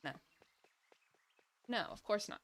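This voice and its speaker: A woman's voice: slow pace, a little hiss or hum. A woman doing casual live gaming commentary, chatting and thinking aloud as she plays. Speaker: game streamer.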